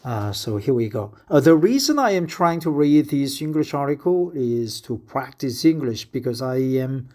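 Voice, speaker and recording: A man's voice: mid-range voice, expressive, normal speed, hardly any pauses, a little mellow. A man in his fifties from Korea, a native Korean speaker with a Korean accent, talking in a casual spoken diary and reading aloud, hesitant with many filler sounds. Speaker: vlogger.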